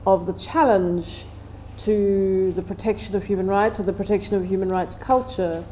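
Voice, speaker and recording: Woman, middle-aged, soft-edged dark tone, medium pitch, measured seminar speech. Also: fairly even intonation, a little hiss or hum, poor audio. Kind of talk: prepared seminar talk. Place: indoor seminar room, seated at table.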